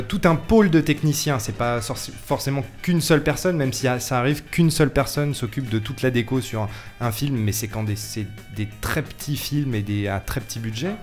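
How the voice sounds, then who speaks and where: A man's voice: on the high side, moderate pace, soft background music. A man speaking casually as a guest in a radio interview, explaining his trade in an informal way. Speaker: film set decoration technician, radio guest. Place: radio studio.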